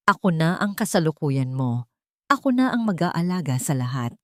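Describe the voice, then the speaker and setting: A woman's voice: low-pitched, very animated, no room sound, studio quality. Woman narrator as a cold, scheming, possessive woman. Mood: cold, gloating. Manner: whispered taunting, deliberate.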